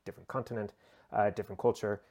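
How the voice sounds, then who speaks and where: A man's voice: lowish voice, level tone, fast, darkish tone. A man from the United States, a lawyer and guest, answering interview questions conversationally over a remote call, with frequent fillers and restarts. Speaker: guest, human rights lawyer.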